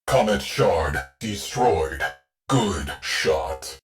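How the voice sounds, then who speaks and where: A deep-voiced, stoic artificial mind runs a warship in a video game. It issues slow, clipped tactical orders with commanding authority and bone-dry, deadpan wit. Faint music sits behind the voice. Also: male voice, full character voice. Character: terse, stoic ship AI, male military tactician with dry wit. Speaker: ship AI mission announcer. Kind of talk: scripted video game mission briefing.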